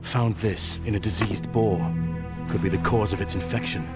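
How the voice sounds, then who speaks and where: A man playing a gruff, resolute northern sworn brother in a video game, speaking in a terse, measured way. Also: fairly low pitch, fairly even intonation, close to an everyday voice. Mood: firm, formal. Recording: loud music behind.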